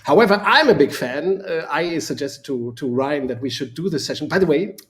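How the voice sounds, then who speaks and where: A man's voice: on the high side, lively intonation, brisk, fairly bright tone. A man with a German accent, a native German speaker, co-hosting a casual live discussion in an enthusiastic, rambling way that often repeats words. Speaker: co-host.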